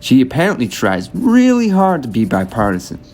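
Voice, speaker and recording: A man's voice: huge pitch swings, normal speed. A man playing a sassy, gossipy, catty teenage debater in an amateur radio drama, chatty in his delivery. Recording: clear background noise with low rumble.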